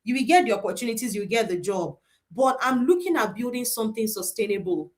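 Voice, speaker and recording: Animated Nigerian woman hosting a boot camp. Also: on the high side, quick pace. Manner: animated, repeats herself, prayerful encouragement. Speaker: host and career coach. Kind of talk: live online boot camp talk.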